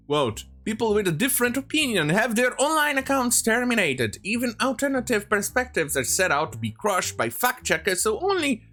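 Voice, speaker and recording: This is an opinionated online commentator in mid-rant, sarcastic and prone to swearing. The pitch swings up and down a great deal while the delivery halts and restarts. Guitar music plays along behind the voice. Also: big pitch swings, normal speed, hardly any pauses, pushed and bright. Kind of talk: opinionated rant commentary, reading quotes aloud.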